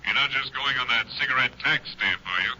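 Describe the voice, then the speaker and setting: An actor playing a brisk, skeptical captain heard over a two-way car radio in a 1950s radio drama, with clipped official delivery. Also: male voice, mid-range voice, low-quality recording. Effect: two-way radio filter. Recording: sharp radio filter over hissy old recording.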